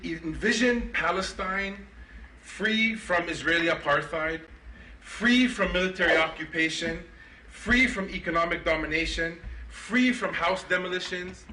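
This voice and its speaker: Sarcastic man giving a conference keynote. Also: sits high. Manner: sarcastic, ironic delivery; animated, mock-indignant questions. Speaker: keynote speaker. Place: conference hall. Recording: echoey hall, mic from far off.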